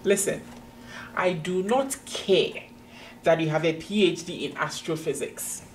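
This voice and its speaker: A woman's voice: on the low side, measured pace, roomy sound, natural voice. A middle-aged woman with a Ghanaian accent acting a stern, impatient, dismissive office clerk in an amateur comedy sketch, with clipped delivery. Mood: impatient, scornful. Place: indoor office desk. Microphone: far camera. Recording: distant camera mic, faint room noise.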